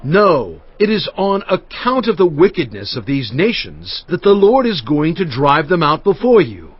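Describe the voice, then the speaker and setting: Solemn, animated biblical prophet. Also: male voice, fairly high pitch, moderate pace, husky, exaggerated voice. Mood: stern, reproving. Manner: solemn, emphatic oratory. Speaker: dramatized audiobook reader. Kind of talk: dramatized scripture reading. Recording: dull audio with faint music bed. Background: faint background music.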